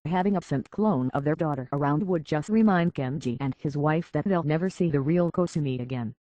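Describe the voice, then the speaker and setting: A man's voice: fairly high pitch, brisk, warm tone, no echo, natural voice. A man playing a world-weary, decent android detective in a video game, speaking in a wry, plainspoken, reflective way on slightly dull audio. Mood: wistful, reflective. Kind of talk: video game character dialogue.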